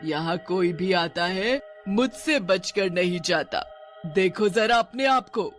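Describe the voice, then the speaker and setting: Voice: mid-range voice, expressive, takes it slowly, fairly bright tone, faint music behind. An actor voicing a cruel old female witch in a cartoon, gloating and menacing between cackles. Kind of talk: cartoon voice acting.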